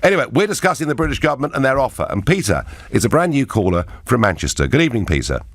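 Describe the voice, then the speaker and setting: A man's voice: medium pitch, very animated, racing. A middle-aged man hosting a radio phone-in show, talking in an emphatic, opinionated way with wry asides. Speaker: radio talk show host.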